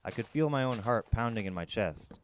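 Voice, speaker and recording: A man with a fairly low voice narrates a first-person story in a dramatic reading style. The amateur recording carries clear background noise. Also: expressive, a little fast. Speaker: audiobook narrator.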